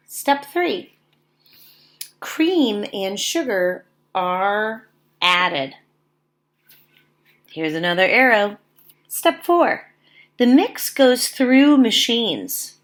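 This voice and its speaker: A woman teacher reading aloud to young children in simple, deliberate phrasing, pausing to explain as she goes. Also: medium pitch, a bit bright. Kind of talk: read-aloud lesson with explanatory asides.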